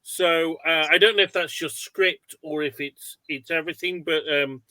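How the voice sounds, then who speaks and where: A man's voice: on the high side, steady tone, moderate pace, sharp tone, dry recording. A man hosting an online webinar, informally reading out audience questions and thinking aloud as he goes. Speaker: webinar host.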